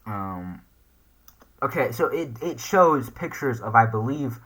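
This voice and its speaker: A man's voice: mid-range voice, lively intonation, slow, a bit bright. A man hosting a casual reaction video, hesitantly thinking aloud as he comments and reads text off the screen. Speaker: YouTube reaction host.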